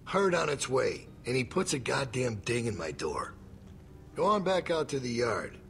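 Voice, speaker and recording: An actor playing a menacing middle-aged leader of survivors in a dramatic video game, with a gravelly, measured delivery. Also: male voice, medium pitch, very animated, faint music behind. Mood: irritated.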